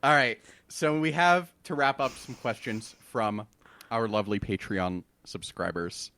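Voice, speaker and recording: A man co-hosting a podcast in casual chat, animated and quick to laugh. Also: mid-range voice, dry recording. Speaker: podcast co-host.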